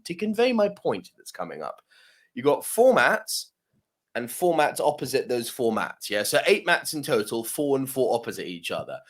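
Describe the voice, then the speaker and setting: Animated man telling a gym story. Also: mid-range voice. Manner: swears freely, laughs often, lots of yeah-tags. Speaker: podcast co-host. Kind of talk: casual storytelling chat.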